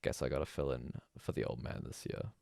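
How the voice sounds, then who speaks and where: A man's voice: extremely low pitch, fairly even intonation, a little fast, creaky, warm tone, no echo, clean studio sound. A man voicing a modest, regretful village wife with plain folksy speech in a storybook reading. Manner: hesitant, regretful, plain-spoken.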